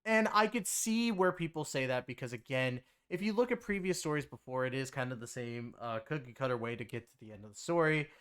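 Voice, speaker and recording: A man's voice: medium pitch, lively intonation, fairly quick, few pauses, a bit bright, clean tone. A man giving a casual, rambling game story review in a conversational way, leaning on filler phrases. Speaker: game reviewer and content creator.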